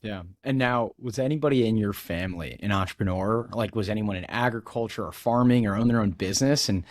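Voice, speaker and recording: A man's voice: on the low side, fairly quick. A man hosting a business podcast, reading an upbeat scripted intro and then chatting in a friendly, loose way with his guest. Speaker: podcast host.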